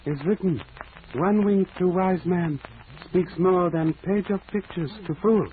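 A formal, proverb-quoting old-radio detective. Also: male voice, high-pitched, unhurried. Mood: calm, formal. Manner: clipped, dropped articles, formal proverbs. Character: wise, polite middle-aged male detective. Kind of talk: 1940s radio acting. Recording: tinny hissy old radio recording.

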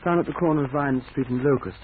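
A man's voice: medium pitch, quick pace, natural voice. Actor voicing a meek, proud, hesitant man; stammers often; thin phone-like old radio sound. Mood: earnest, shy. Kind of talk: 1940s radio acting. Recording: tinny hissy old radio recording.

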